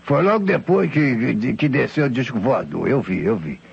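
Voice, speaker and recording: An old Brazilian beggar in a radio mystery, tipsy and rambling. The voice is very rough and gravelly, swinging up and down as he stammers out his humble, insistent account. The recording is old and muffled. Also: mid-range voice, lively intonation, normal speed, full character voice. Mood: awed, insistent. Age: older. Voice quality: gravelly, husky. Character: old tipsy male vagabond beggar, frightened, insistent witness.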